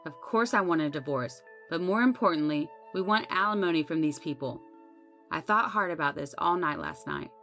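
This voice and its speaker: A woman's voice: low voice, lively intonation, normal speed, fairly bright tone, soft background music. A woman narrator voicing a wronged young wife in a story, aggrieved, emphatic and resolute. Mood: pained, resolute. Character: wronged young daughter-in-law, bitter, pained, resolute.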